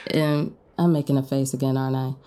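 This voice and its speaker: Woman acting as a friendly diner lady, deep mellow voice, teasing and casual, romance audio play. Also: level tone, brisk, clear, resonant tone. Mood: teasing, encouraging. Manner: playful, casual, bantering. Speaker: guest voice actor in an audio romance.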